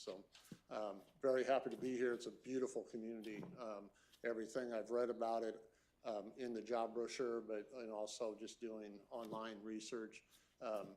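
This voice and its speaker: A man's voice: on the low side, a little mellow, slight background noise. Hesitant man at a council meeting. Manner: hesitant, lots of fillers. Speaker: city manager candidate. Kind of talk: public meeting introduction. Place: council chambers.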